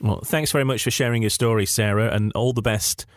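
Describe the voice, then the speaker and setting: A man's voice: mid-range voice, steady tone, brisk, no room sound. A man hosting a conversational radio interview, speaking politely and encouragingly to his guest.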